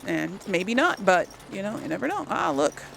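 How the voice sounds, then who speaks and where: Female playthrough host: mid-pitched, gravelly edge, hesitant thinking-aloud delivery, slight noise on a home recording. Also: big pitch swings, moderate pace, a bit bright, rough recording. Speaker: gaming video host. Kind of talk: casual game playthrough commentary.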